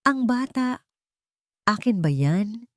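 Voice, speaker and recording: A woman voicing a desperate, guilt-ridden man who pleads weakly and haltingly in an audio drama. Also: a little high, sing-song, no echo, clean studio sound, voice pushed up. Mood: hesitant, shaken.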